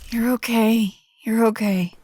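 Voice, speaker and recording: A woman playing an anxious, caring traveling companion in an audio drama, gentle and hushed. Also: fairly high pitch, steady tone, a little slow, slight background noise, close to an everyday voice. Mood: soothing, worried. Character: anxious, caring companion tending a sick friend.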